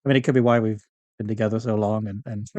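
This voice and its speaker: A man's voice: lowish voice, steady tone, fairly quick, mellow, dry recording. A middle-aged man chatting casually as a podcast guest, in an informal, rambling way. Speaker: podcast guest, audio fiction writer. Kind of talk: casual conversational interview.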